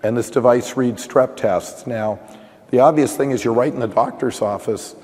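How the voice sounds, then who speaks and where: Hoarse man talking in a reverberant hall. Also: mid-range voice, moderate pace, darkish tone. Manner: thinking aloud, with frequent restarts and "you know". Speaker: conference panelist. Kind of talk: technical conference talk. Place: conference session room.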